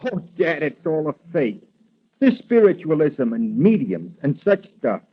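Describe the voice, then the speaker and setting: An actor playing a skeptical, impatient young banker's son in a 1940s radio drama, with a dismissive manner. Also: male voice, fairly high pitch, sing-song, unhurried, breathy edge, full character voice. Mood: scornful, impatient. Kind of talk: 1940s radio adventure acting. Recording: dull vintage radio recording.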